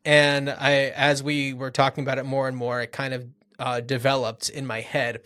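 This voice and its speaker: Guy cohosting a movie podcast, mid-range voice, loose chatty delivery, kinda thinking out loud. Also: medium pitch, little pitch movement, normal speed. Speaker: podcast co-host. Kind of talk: casual movie discussion.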